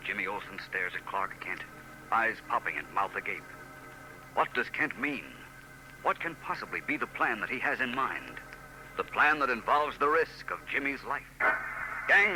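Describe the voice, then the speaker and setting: Dramatic 1940s radio serial narrator. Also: male voice, fairly high pitch, poor audio. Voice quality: dramatic, urgent. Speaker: narrator and announcer. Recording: old radio broadcast with loud music.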